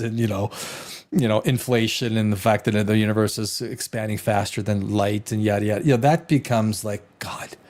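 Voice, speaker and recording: A middle-aged man who grew up in Canada, hosting a casual talk show, rambling and animated, restarting sentences and dropping to a near whisper at times. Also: fairly low pitch. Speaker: host.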